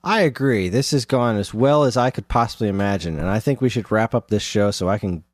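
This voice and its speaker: A man's voice: lowish voice, brisk. A middle-aged man co-hosting a podcast in casual conversation, with a wry, joking way of talking. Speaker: podcast co-host. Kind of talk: casual chat.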